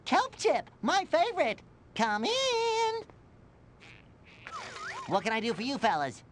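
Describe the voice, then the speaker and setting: A woman's voice: high-pitched, huge pitch swings, takes it slowly, background noise, heavily acted. A woman voicing a childish, self-declared evil hermit in a cartoon game, gleeful and theatrical, with boastful asides. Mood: eager. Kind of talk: video game cartoon voice acting. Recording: music behind.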